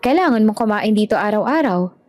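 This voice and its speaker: A woman's voice: mid-range voice, animated, brisk, darkish tone, faint music behind, natural voice. A woman voicing a playful, teasing young woman who serves at a porridge stall in an audio drama.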